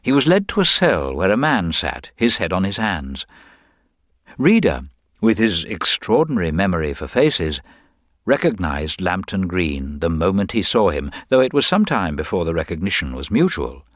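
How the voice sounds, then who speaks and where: A man's voice: low voice, sing-song, normal speed, dry recording, studio quality. A man narrating a scripted detective story.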